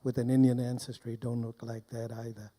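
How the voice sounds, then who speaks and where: An older man from Burma giving informal personal testimony to a live audience as a guest speaker, deliberately and in short, broken phrases. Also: medium pitch, little pitch movement, dark tone. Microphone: handheld microphone held close.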